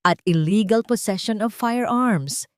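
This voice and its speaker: A woman's voice: medium pitch, unhurried, no echo, pitched above the performer's own voice, close to an everyday voice. A woman voicing a stern policeman formally announcing charges in an audio drama. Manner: formal, declarative. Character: stern policeman shouting out an arrest. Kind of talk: dramatic audio story dialogue.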